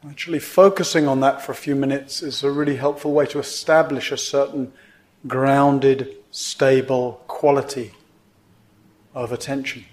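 A man teaching meditation, guiding a sitting in gentle, measured phrases with pauses between them. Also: medium pitch, level tone. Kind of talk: guided meditation instruction.

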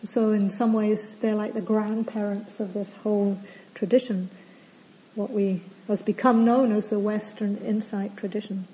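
Woman meditation teacher, gentle and explanatory, mellow mid-pitched voice. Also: fairly even intonation, unhurried, poor audio. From not from Australia. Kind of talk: retreat opening talk. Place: retreat centre hall.

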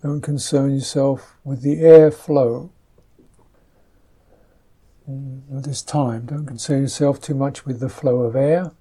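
A man teaching a guided meditation, speaking softly and gently in unhurried, halting phrases, with a slightly husky voice. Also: mid-range voice, fairly even intonation.

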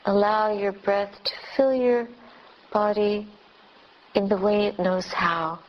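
A woman meditation teacher leading a guided meditation in short, unhurried phrases with long pauses between them. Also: medium pitch, fairly even intonation. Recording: dull lo-fi recording with clear background noise.

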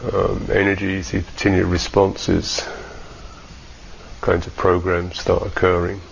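A man teaching a guided meditation in a measured, halting way with long pauses, on a dull recording. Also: sits low, completely flat delivery, gravelly, slight background noise.

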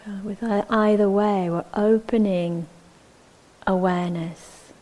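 A woman teaching meditation, giving gentle, measured instructions with long pauses between short phrases. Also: mid-range voice, fairly even intonation, poor audio.

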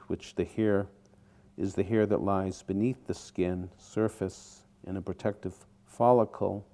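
A man teaching a guided meditation in short, deliberate phrases with pauses between them. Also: fairly low pitch, mellow.

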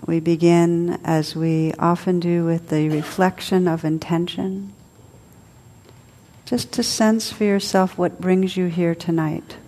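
A woman leading a guided meditation as a teacher, soothing and unhurried, speaking in short phrases with long pauses. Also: fairly low pitch, steady tone, mellow. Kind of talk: guided meditation, spoken to a group.

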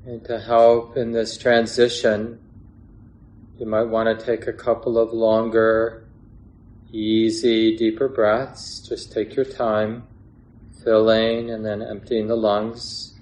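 A man teaching a guided meditation in a gentle, unhurried way, pausing between short phrases. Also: lowish voice, monotone. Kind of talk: guided meditation instruction, unscripted.

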